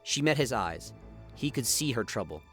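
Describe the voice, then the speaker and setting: A man's voice: medium pitch, big pitch swings, moderate pace, a bit bright, faint music behind. A man reading dramatic scripted narration for a sci-fi radio play, as the storyteller.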